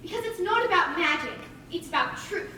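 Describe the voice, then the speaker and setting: A woman's voice: extremely high pitch, lively intonation, fairly quick, sharp tone, distant mic, full character voice. A woman playing an earnest, determined girl who loves science, articulate and proper in theatrical stage acting. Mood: earnest, proud. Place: theatre stage, recorded from a distance. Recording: echoey stage recording from afar, faint noise.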